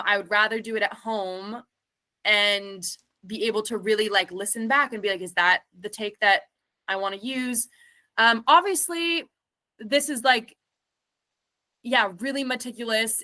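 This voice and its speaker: A woman's voice: medium pitch, unhurried, pushed and bright. A woman singer and self-producing artist, answering interview questions in a chatty, reflective way full of fillers.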